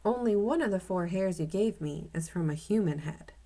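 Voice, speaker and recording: A woman reading aloud as a calm, knowledgeable man who explains things in a children's storybook. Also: on the low side, moderate pace, slight background noise. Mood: explaining. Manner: instructive, matter-of-fact.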